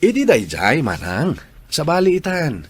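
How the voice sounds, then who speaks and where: A man acting a shy, earnest young office worker in love with a coworker, soft-spoken, in a radio drama. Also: on the high side, wildly swooping pitch, a little slow, breathy edge, exaggerated voice.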